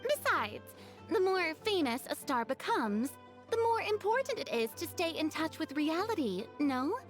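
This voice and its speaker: A gracious, grandiose young celebrity from a video game, speaks with theatrical elegance. Her voice is extremely high and swings dramatically in pitch. Music plays behind her. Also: sing-song, unhurried, heavily acted. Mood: breezy, poised.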